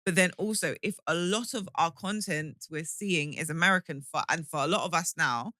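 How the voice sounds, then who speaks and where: A woman's voice: fairly low pitch, moderate pace, sharp tone, no echo, studio quality. A young woman from the UK, co-hosting a podcast and earnestly explaining her points in casual, slangy chat. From United Kingdom. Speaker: podcast co-host.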